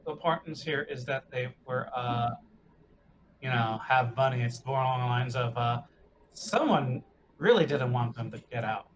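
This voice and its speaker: A man's voice: mid-range voice, moderate pace, noisy background. A man playing a tabletop roleplaying game, casually talking through what his character does.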